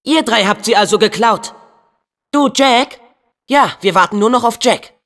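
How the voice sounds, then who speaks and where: A man's voice: sits high, unhurried, a bit bright. A young man voicing a plucky, kind-hearted teenage boy hero in a video game dub, earnest and spirited, with casual youthful phrasing. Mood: brisk.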